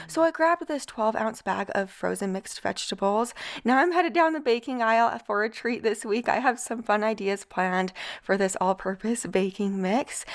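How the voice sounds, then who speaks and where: Upbeat woman narrating a cooking vlog. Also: a little high. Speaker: budget cooking vlogger.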